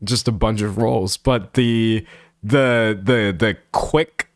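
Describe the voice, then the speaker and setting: A man's voice: fairly low pitch, steady tone, slow pace, no room sound. A young Texan man rambles through his stream chat in a close, dry recording with no room sound. He laughs often and pokes fun at himself as he talks. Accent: Texan American. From San Antonio, Texas. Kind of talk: casual livestream chat reading comments.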